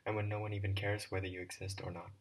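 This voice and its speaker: A man's voice: low voice, level tone, fast. A man reading a proud, irritable teenage boy. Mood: hushed. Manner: hushed, clipped, irritable.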